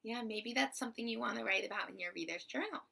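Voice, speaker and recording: A woman reading a picture book aloud to children like a teacher, with expressive, deliberate phrasing. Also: a little high, gravelly, breathy edge. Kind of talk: storybook read-aloud for children.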